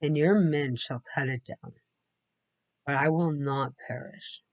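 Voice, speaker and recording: A man voicing a calm, resolute male peacemaker prophet in a storybook. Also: fairly high pitch, slow. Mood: calm resolve.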